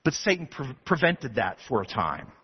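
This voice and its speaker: Husky, animated man preaching a sermon. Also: mid-range voice, moderate pace. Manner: animated, rising to emphatic preaching. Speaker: pastor. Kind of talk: expository sermon. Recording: dull lo-fi sound.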